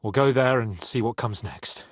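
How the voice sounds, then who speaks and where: A man's voice: medium pitch, moderate pace, no room sound, clean studio sound, lightly acted. A man playing a grim, determined medieval fighting man in a video game, firm and plain-spoken. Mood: grim resolve. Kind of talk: video game character dialogue.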